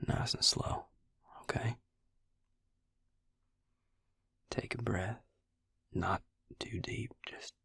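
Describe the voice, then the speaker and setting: A gruff, protective vampire healer played by a man murmurs in a low drawl for an intimate roleplay audio. The voice is very breathy and half-whispered, close and dry. He comes across as blunt but tender, with an exasperated, caring edge. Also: a bit bright, dry recording, full character voice. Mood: gentle, soothing.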